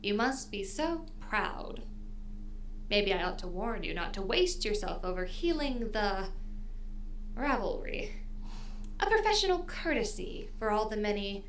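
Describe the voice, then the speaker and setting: A woman's voice: medium pitch, big pitch swings, slight background noise. A woman playing a smug, cruel audio drama villainess who speaks with mocking, menacing courtesy. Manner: mocking, drawn-out, chuckling, menacingly polite.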